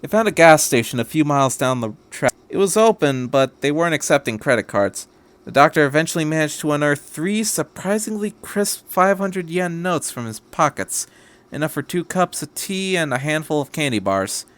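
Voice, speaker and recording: A man reading a story aloud as a podcast narrator, in a measured, scripted storytelling style. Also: a little high, expressive, fairly bright tone.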